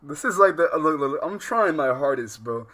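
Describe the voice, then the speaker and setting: A man's voice: a little high, expressive, fairly quick, bright, forceful tone, a little hiss or hum. A man live-streaming a casual reaction, full of slang, speaking emphatically and incredulously and often repeating himself. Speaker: livestream host reacting to a video.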